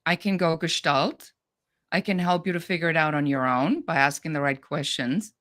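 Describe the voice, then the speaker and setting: A woman's voice: low voice, normal speed, fairly bright tone. A middle-aged woman with a German accent, speaking as a guest on a business podcast in a direct, no-nonsense way. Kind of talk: podcast interview.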